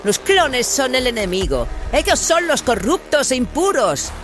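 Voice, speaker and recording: A man's voice: very high voice, sing-song, a little slow, noisy background, pitched above the performer's own voice, heavily acted. A man voicing a fanatical, defiant female rebel leader in a declamatory, commanding way, in a dramatized sci-fi audiobook. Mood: indignant, zealous.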